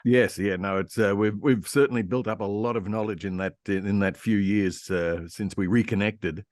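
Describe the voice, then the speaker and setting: An older man with an Australian accent, reminiscing casually and haltingly as an interview guest. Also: lowish voice, steady tone, no echo. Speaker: interview guest, radio historian and voice actor.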